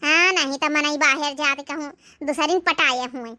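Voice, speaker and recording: A woman's voice: high voice, steady tone, moderate pace, bright, forceful tone. A woman voicing a dramatic, gossipy village housewife with an Awadhi accent, theatrical in a comedy cartoon. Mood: trusting, uneasy.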